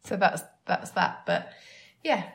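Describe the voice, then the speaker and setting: Woman with a creaky, gravelly voice. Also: on the low side, expressive, slow pace. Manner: agreeable, with frequent short affirmations. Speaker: podcast co-host. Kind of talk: casual podcast chat.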